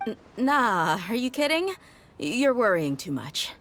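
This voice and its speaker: A woman's voice: on the high side, sing-song, a little slow, a bit bright, a little hiss or hum. A woman playing a tough, easygoing desert mercenary in an anime game, speaking in a casual, frank, reassuring way. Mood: evasive.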